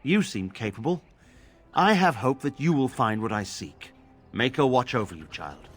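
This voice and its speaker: An actor playing a grave, pious templar in a fantasy video game, speaking formally and solemnly. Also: male voice, mid-range voice, expressive, moderate pace, natural voice. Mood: grave, hopeful. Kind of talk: video game drama acting.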